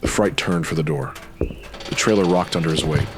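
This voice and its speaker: A man with a very deep voice reading scripted noir narration as a storyteller. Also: normal speed. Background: music.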